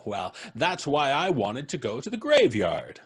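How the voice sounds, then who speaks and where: A man playing a calmly cheerful doomed stranger. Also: mid-range voice, breathy edge, exaggerated voice. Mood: serene. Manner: unhurried, amused, serenely cryptic. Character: calm, cheerful man expecting his death. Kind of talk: live radio drama reading.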